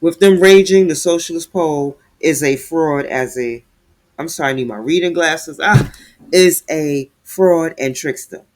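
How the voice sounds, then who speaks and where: A man's voice: a little high, a little slow. A man giving heated, sarcastic commentary while reading news aloud, stumbling over words as he reads.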